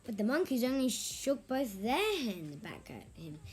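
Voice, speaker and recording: A woman reading a children's story aloud in an expressive, theatrical way with drawn-out phrasing. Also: a little high, soft background music. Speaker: storybook reader.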